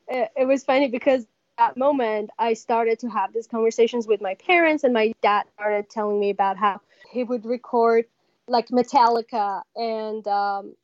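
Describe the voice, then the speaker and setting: A young woman from Iran, a band's lead singer, answering interview questions thoughtfully with frequent pauses. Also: a little high, darkish tone, no room sound, lo-fi sound. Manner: thoughtful, many pauses and fillers. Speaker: lead vocalist of a metal band.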